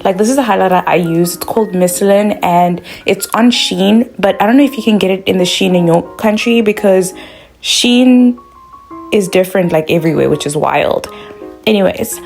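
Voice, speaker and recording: A woman's voice: mid-range voice, normal speed, gravelly, darkish tone, faint music behind. A young woman from South Africa, a makeup vlogger talking through a tutorial in a bubbly, chatty way, full of "like" fillers.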